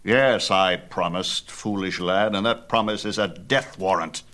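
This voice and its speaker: A man's voice: deep voice, measured pace, fairly bright tone. Man playing a proud, imperious sun god. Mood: grave, pleading. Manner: imperious, theatrical, emphatic. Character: proud, mighty sun god father, booming and quick-tempered. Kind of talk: dramatized myth storytelling.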